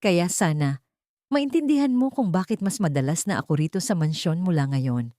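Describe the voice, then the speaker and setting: A woman's voice: on the low side, animated, moderate pace, warm tone, no room sound, studio quality, natural voice. A woman voicing a scheming, smug young mistress with a condescending manner in an audio drama. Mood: smugly triumphant. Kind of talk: audio drama character dialogue.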